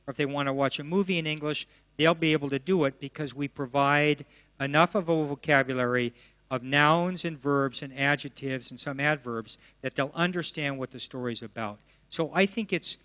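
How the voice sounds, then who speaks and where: A man answers questions from the audience with eager, rambling detail, often restarting his sentences. His voice sits fairly high for a man. The sound carries the long reverb of a large hall. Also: fairly bright tone. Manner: enthusiastic, answers at length with restarts. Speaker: presenter of educational software. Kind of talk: Q&A after a talk.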